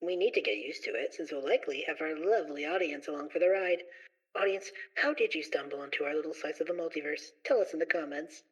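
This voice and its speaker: A woman playing an excitable young male student adventurer, breezy and showy, in an audio drama. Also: sits low, fast, slightly rough, poor audio. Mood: excited, showy.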